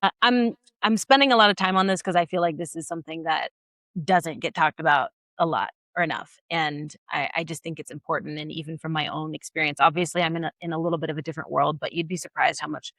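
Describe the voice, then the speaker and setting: American woman host, lowish dry-sounding voice. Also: fast, fairly bright tone, dry recording. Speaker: interview host. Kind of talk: casual conversational interview.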